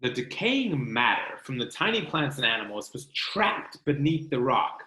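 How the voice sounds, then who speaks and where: A man reading a book aloud to children like a teacher, expressive and instructional, pausing to explain. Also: mid-range voice. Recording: roomy, echoing sound.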